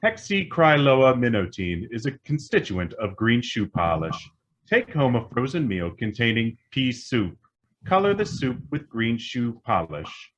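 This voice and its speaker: An actor playing a calm talking computer appliance, formal and impersonal like an announcer, in a radio drama. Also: male voice, mid-range voice, unhurried, lo-fi sound. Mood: impersonal, matter-of-fact. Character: calm male talking computer appliance giving deadly advice.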